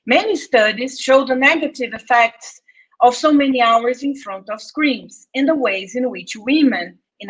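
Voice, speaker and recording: A woman in her thirties from Brazil with a Brazilian Portuguese accent, giving a careful, measured reading of an academic talk from her home office. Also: a little high. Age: 30s. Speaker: anthropologist, postdoctoral researcher. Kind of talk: read academic conference talk. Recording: muffled lo-fi video recording.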